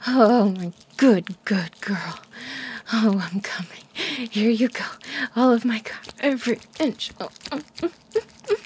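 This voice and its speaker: A woman whispering an intimate audio roleplay, breathy, teasing and commanding. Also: medium pitch, darkish tone, slight background noise.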